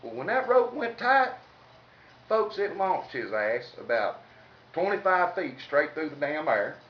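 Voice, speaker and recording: A Southern American man tells funny stories in a colloquial, country manner. His voice sits slightly high. The recording is distant and somewhat dull, with a faint noise under it. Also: big pitch swings, measured pace, echoey room. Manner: folksy, colloquial, salty. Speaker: comedic storyteller. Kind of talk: informal humorous storytelling.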